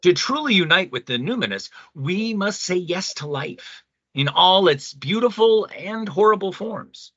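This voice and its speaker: A male actor plays an earnest male pastor in an audio drama debate. His voice is bright and forceful, swinging widely as he preaches solemnly. The sound is close, dry and slightly muffled. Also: high-pitched, lively intonation, measured pace, dry recording, heavily acted. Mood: earnest, reverent. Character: earnest, mystical male pastor and spiritual leader. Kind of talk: radio play debate acting.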